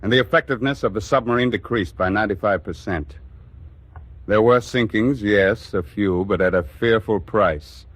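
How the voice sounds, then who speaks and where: A man's voice: fairly low pitch, dry recording, lightly acted. A man with an American accent narrating in a grave, oratorical 1940s radio drama style. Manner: grave, oratorical, measured phrasing.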